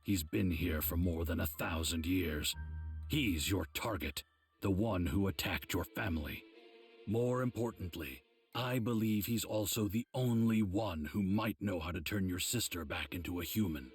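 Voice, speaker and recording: An actor playing a grave old mentor of demon slayers, explaining things in a measured, solemn way for a video game. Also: male voice, low voice, faint music behind. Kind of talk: English game dub, measured explanatory dialogue.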